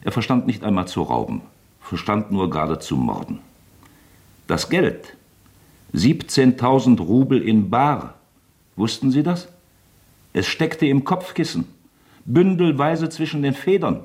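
A man's voice: mid-range voice, sing-song, unhurried, long pauses, a little mellow. An actor in a German radio drama playing a wily, talkative, mock-genial male magistrate who toys with his suspect, insinuating and falsely chummy. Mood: insinuating, needling.

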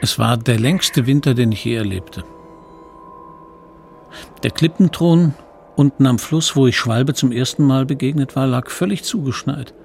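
A man's voice: medium pitch, measured pace, gravelly, darkish tone, a little hiss or hum. A man playing the narrator of a radio play, reflectively recalling his own boyhood with some regret. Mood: uneasy, reflective. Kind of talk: scripted first-person narration in radio play.

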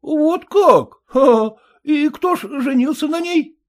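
A man's voice: very high voice, lively intonation, takes it slowly, voice pushed up, exaggerated voice. A man voicing a hearty, boisterous newly rich man playing host to friends.

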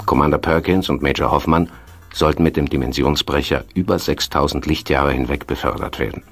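A man's voice: a bass voice, fairly even intonation, a little fast, faint music behind. A man narrating a science-fiction radio play with grave, dramatic scripted delivery.

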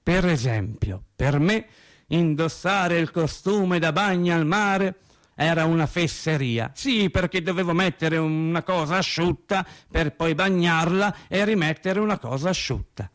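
A male actor playing a wry storyteller recalling boyhood with childlike logic, in an expressive, conversational theatrical monologue. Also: fairly high pitch, measured pace. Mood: wry, self-justifying. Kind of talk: theatrical first-person radio monologue.

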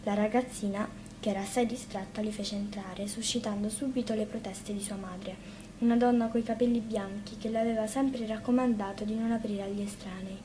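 A woman narrates a children's fairy tale from a script. Her pitch sits in the middle range and her delivery is expressive. A faint layer of noise runs under the voice. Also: a little fast. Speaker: storyteller reading own fairy tale. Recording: clearly noisy live radio studio recording.

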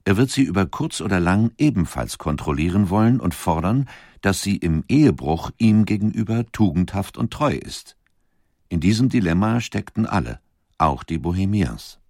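A man reading scripted documentary narration in a measured, articulate manner. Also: low-pitched, darkish tone, dry recording, studio quality.

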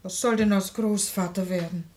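A woman's voice: medium pitch, fairly even intonation, moderate pace, darkish tone, natural voice. An actress playing an anxious, caring wife and mother in a radio play, fussing solicitously. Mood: pleading. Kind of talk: German radio play acting.

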